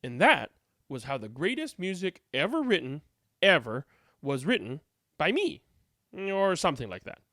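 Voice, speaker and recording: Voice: medium pitch, sing-song, moderate pace, a bit bright, dry recording, studio quality. A reader giving a theatrical, emphatic read-aloud of a storybook. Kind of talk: animated read-aloud narration.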